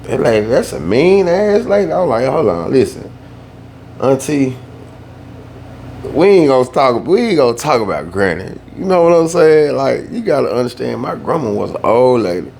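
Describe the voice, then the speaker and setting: A young man from the Southern US telling a family story in a laid-back, slangy way that turns animated when he gets worked up. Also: mid-range voice, normal speed, vocal fry, slight background noise. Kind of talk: casual storytime.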